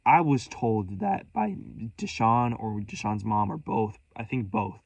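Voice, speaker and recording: A man's voice: lowish voice, big pitch swings, a little slow, creaky, darkish tone, no echo, highs cut off. A man telling a rambling story in a vlog, profane and scattered, restarting sentences and commenting on his own spiraling thoughts. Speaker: vlogger.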